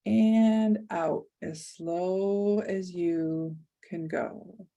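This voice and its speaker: A woman leading a guided meditation, speaking softly and soothingly with long pauses. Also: on the low side, fairly even intonation, vocal fry, muffled recording.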